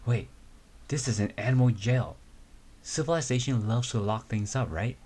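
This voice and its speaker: A man reading the lines of a young woman survivalist in an amateur comic reading, with a plain, low-key delivery. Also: moderate pace, darkish tone, lightly acted.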